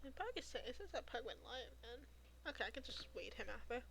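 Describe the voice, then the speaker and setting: Bright, animated high-voiced woman gamer. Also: fast, vocal fry, slight background noise. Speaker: gamer on a livestream. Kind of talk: casual gaming chat.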